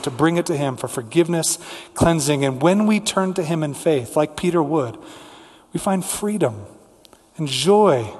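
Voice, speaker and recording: Male pastor, slightly higher-pitched voice, earnest and rhetorical, preaching in an echoing church hall. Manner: earnest, rhetorical repetition and listing. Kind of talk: sermon with prayer and scripture reading. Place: large reverberant church room.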